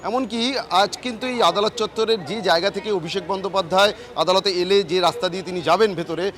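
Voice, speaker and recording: A man's voice: high voice, fairly even intonation, fast talker, a bit bright. A man reporting live news outdoors with emphatic, repetitive phrasing. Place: outdoors outside a city courthouse.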